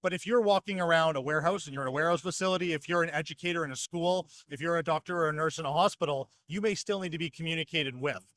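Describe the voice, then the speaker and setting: A man with a fairly high voice explains products in an articulate, orderly way. The sound is dry and close, like a company representative being interviewed. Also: very fast, few pauses, a bit bright, no room sound. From North America. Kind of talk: fluent product explanation at a trade show. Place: trade show exhibition hall.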